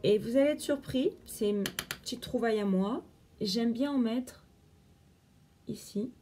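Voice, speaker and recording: A woman's voice: fairly high pitch, unhurried, dark tone. A young woman chatting through a makeup tutorial, explaining each step as she works. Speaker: beauty vlogger.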